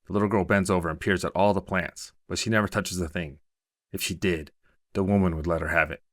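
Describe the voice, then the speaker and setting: Male storyteller, lowish voice, scripted short story narration, dry close recording. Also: fairly even intonation, brisk, no echo.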